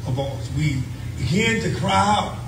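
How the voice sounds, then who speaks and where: A middle-aged man with a Southern American accent preaching a call-and-response sermon, building from steady talk up to cries of praise. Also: high voice, measured pace, background noise. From United States. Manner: preaching, rising to shouts of praise, repeats phrases. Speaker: pastor. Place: small church sanctuary, indoors. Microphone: handheld mic, filmed from the pews. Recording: roomy church sound from a distance with music behind.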